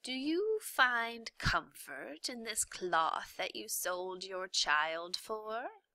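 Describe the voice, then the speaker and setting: A woman voicing a sly, soft-spoken male rat who speaks slowly in a hushed, whispery way. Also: mid-range voice, lively intonation, dry recording, pitched above the performer's own voice, exaggerated voice.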